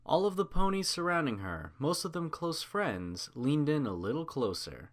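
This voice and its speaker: A man's voice: a little high, sing-song, moderate pace, fairly bright tone. A man reading a story aloud as narrator, in a scripted storybook style.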